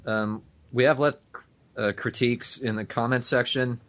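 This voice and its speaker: A man's voice: mid-range voice, steady tone, normal speed, a bit bright. A man co-hosting a fan podcast in casual chat over a voice call. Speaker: podcast co-host. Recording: dull lo-fi internet call.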